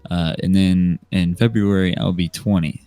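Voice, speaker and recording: A nineteen-year-old American man speaks in a deep voice as a podcast guest. He tells stories casually and hesitantly, with faint music underneath. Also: fairly even intonation, moderate pace, no room sound. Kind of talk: casual storytelling chat. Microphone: remote voice call microphone. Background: soft music.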